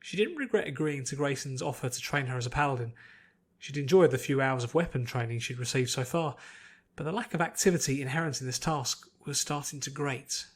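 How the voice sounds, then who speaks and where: A man's voice: mid-range voice, fairly quick. A man reading scripted fiction aloud as an audiobook narrator.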